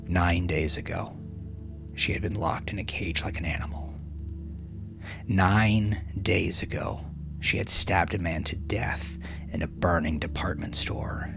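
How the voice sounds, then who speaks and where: A man narrating dramatic fiction from a script in a measured, solemn manner, with hushed phrase endings. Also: sits low, gravelly, whispered. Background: music.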